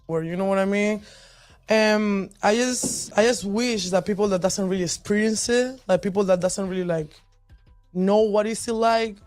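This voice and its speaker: Deep-voiced woman, blunt and dry. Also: moderate pace, darkish tone, no room sound, faint music behind. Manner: blunt, frequent "you know what I mean". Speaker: interview guest. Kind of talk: casual interview chat.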